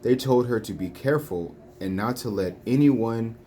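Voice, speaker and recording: A woman reading children's storybooks aloud in a deliberate, phrase-by-phrase way. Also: a bass voice, dark tone. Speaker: storybook reader. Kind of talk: children's read-along narration. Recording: faint background noise, home recording.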